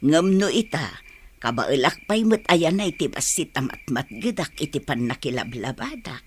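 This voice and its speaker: Caring, expressive Filipino village mother. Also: sits low, normal speed, slight background noise. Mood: concerned. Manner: tender, expressive. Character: caring, hardworking village mother, worried and encouraging. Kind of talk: radio drama acting.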